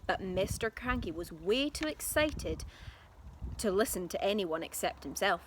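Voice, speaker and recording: Female storyteller for children, expressive and animated, teacherly delivery, some clear background noise. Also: mid-range voice, measured pace, rough recording. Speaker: primary school teacher reading aloud.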